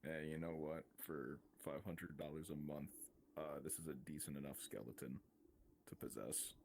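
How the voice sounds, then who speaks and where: A man's voice: very deep voice, steady tone, normal speed, creaky, a little mellow, a little hiss or hum. A man playing a wry, laid-back ghost possessing a skeleton, chatting nonchalantly in a casual roleplay. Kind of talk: casual improvised roleplay banter.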